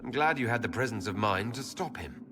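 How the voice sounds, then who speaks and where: Man playing a serious fantasy leader, expressive delivery. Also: on the low side, over music, close to an everyday voice. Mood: wary. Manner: measured, serious. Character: cautious, commanding male leader of fantasy heroes. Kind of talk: dramatic fantasy game acting.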